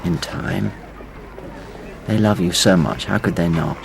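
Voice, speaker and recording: A man's voice: low-pitched, a little slow, creaky, background noise, exaggerated voice. An English actor playing an impulsive young Englishman who narrates his own story in a radio drama. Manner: wry, animated storytelling. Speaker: narrator and lead.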